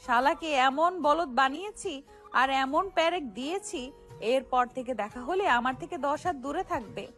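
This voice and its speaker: Woman acting a high-pitched young female gang boss, brash, defiant, streetwise slang, argumentative, audio story drama, music behind. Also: fairly bright tone. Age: young adult.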